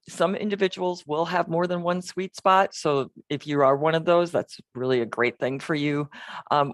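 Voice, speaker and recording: A man's voice: on the high side, no room sound. A man co-presenting an instructional session over a video call. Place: video call with screen share.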